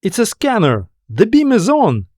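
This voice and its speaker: Casting: excitable young spacewoman for sci-fi audiobook, performed by a man; raised pitch, breathless wonder, close dry sound. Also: a little slow, dry recording, heavily acted. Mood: excited, amazed. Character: excited, awestruck young woman spaceship crew member.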